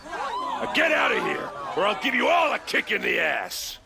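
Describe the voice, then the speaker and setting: A man playing a gruff, hot-tempered guard captain in a video game, threatening people. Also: very high-pitched, huge pitch swings, normal speed, shouting, full character voice. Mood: furious threat. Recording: crowd noise behind the voice.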